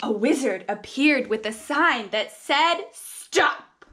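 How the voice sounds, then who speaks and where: A young woman reading a children's picture book aloud in an expressive, sing-song, drawn-out preschool teacher's manner, recorded at home on a camera mic. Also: high voice. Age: young adult.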